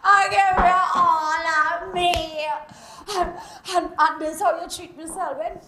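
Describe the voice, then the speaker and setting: A woman's voice: extremely high pitch, steady tone, slow, echoey room, background noise, pitched above the performer's own voice, exaggerated voice. A woman voicing a furious scorned woman who screams insults and chants singsong taunts at her lover. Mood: bitter.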